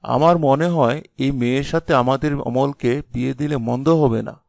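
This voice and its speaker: Man acting a gravelly shrewd village headman. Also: mid-range voice, normal speed, darkish tone, no room sound, studio quality, close to an everyday voice. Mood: approving. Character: shrewd village headman, father of a grown son.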